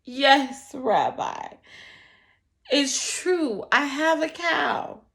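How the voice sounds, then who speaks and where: A woman voicing a desperate, overwhelmed poor man in a storybook, pleading in drawn-out wails. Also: sits high, animated, vocal fry, fairly bright tone, pitched above the performer's own voice, full character voice. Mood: fearful, pleading. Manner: shrieking, wailing, drawn-out pleas. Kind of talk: dramatic storybook read-aloud.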